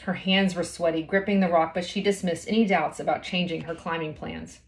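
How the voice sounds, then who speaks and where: A woman's voice: lowish voice, steady tone, fairly quick, poor audio. A woman in her thirties reading a children's novel aloud as a storyteller, recorded indoors at home. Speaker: storybook reader. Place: small indoor room at home.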